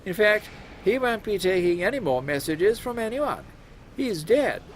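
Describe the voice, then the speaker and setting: A man's voice: high voice, animated, a little slow, a little hiss or hum. A man playing an officious Victorian London policeman in a comic audio drama, matter-of-fact and dryly grim. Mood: deadpan, grim.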